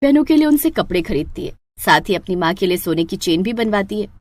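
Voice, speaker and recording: A woman narrating a scripted moral story as an expressive storyteller. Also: mid-range voice, brisk.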